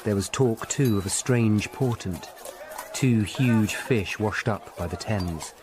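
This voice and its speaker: An actor playing a grave, observant Tudor lawyer who narrates his own story in measured, literate phrases for a radio drama. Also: fairly low pitch, noisy background. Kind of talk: scripted first-person radio drama narration.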